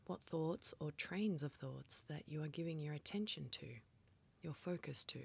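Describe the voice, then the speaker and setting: Female meditation guide, low-pitched, very breathy and soft, calm gentle instruction. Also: normal speed.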